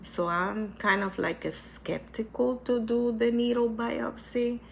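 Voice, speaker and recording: A woman's voice: a little high, steady tone, vocal fry, slight background noise. A woman with a stuffy cold, talking casually and earnestly to her viewers, hesitant and repeating herself as she reflects. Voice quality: hesitant, with many restarts and repetitions. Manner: earnest, reflective, speaks slowly. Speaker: vlogger sharing her cancer experience. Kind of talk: casual personal talk to camera.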